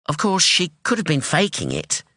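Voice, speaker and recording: Casting: man doing a blunt, defiant young woman in an audiobook, raised and lively, close dry sound. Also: on the high side, normal speed, no room sound, voice pushed up, exaggerated voice. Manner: blunt, challenging, insistent. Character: sharp, defiant young woman, street-tough daughter. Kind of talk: audiobook dialogue.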